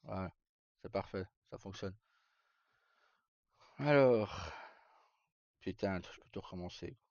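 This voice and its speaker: A man's voice: sits low, takes it slowly, a little mellow. A man chatting casually on a livestream, sweary and colloquial, in short broken-off phrases. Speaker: live streamer. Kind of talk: casual, unscripted livestream chat to viewers. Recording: dull roomy sound with faint music. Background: faint game music.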